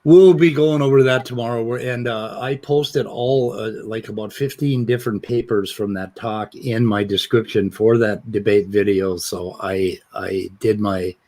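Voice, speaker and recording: A male host with a low voice chats casually. He rambles and often stops and restarts his sentences. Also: fairly even intonation, warm tone. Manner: rambling, halting restarts, laughs easily. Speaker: host of a debate review stream. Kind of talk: casual chat.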